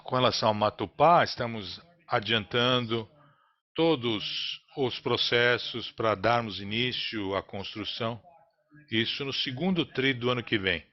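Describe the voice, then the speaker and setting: A man with a Brazilian accent interprets a business earnings call live, in a halting, phrase-by-phrase delivery. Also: mid-range voice. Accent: Brazilian Portuguese. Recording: dull, lo-fi conference call audio.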